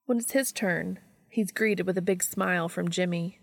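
A woman reads fiction aloud as an audiobook-style narrator. Her voice sits on the low side and has a noticeable creak. The recording is very dry, with no room around it. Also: normal speed, dry recording. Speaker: fan fiction reader.

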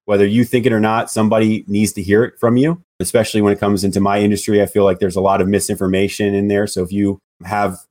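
A lower-pitched man with a naturally loud voice talks as a podcast guest. He speaks in a relaxed, easygoing way, often pausing and filling gaps with "you know.". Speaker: guest, loan officer. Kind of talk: casual interview chat.

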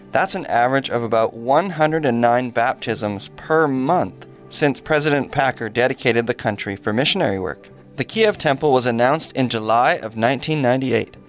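A man co-hosting a podcast, reading scripted history in an even manner. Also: medium pitch. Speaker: podcast co-host.

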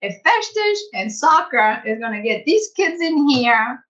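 A woman school director telling her story in an interview, animated and conversational, laughing easily. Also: high-pitched, measured pace, dull audio. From United States. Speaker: executive director of a language school.

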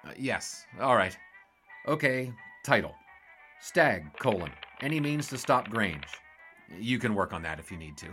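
A man's voice: on the low side, sing-song, unhurried, fairly bright tone, soft background music. An American man playing a wisecracking, flirtatious 1920s newspaper sportswriter in a period audio drama. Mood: businesslike. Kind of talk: 1920s period radio-style acting.

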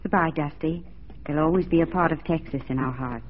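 Gentle gracious western sweetheart, sentimental 1940s radio style, muffled old recording. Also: female voice, deep voice, brisk. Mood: earnest, fond. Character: tender, gracious young frontier sweetheart. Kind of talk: 1940s radio drama acting.

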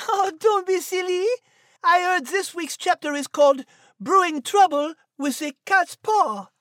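A woman's voice: very high-pitched, measured pace, fairly bright tone, no echo, studio quality. A woman voicing a chic female cat with a French accent, breezy in manner.

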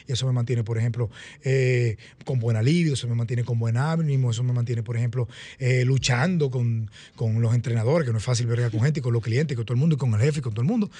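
A Dominican man in his late thirties speaks rapidly in a husky voice with a dry, close sound. He explains and advises conversationally as a radio guest, repeating himself for emphasis. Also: mid-range voice, mellow, no room sound. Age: late 30s. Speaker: guest fitness coach. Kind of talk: conversational radio interview advice.

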